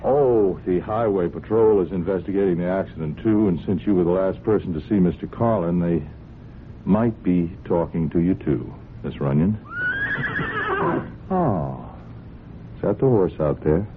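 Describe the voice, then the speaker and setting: A man's voice: sits low, normal speed, close to an everyday voice. An actor playing a folksy police sergeant in a radio drama, hesitant and apologetic as he politely probes with mild questions. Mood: mild, probing. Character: folksy, polite but quietly probing male police sergeant. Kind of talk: old-time radio drama acting. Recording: muffled, hissy old radio drama recording.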